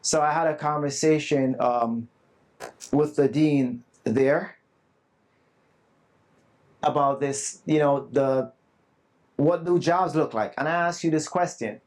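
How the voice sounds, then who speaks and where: A man presenting at a live summit, his voice sitting a bit high for a man. He talks earnestly and emphatically to the room and drops in humble, self-deprecating asides. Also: takes it slowly, long pauses, a little mellow. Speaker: conference presenter. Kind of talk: live summit talk to an audience. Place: conference room with a live audience. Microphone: not loud at first, asked to speak louder.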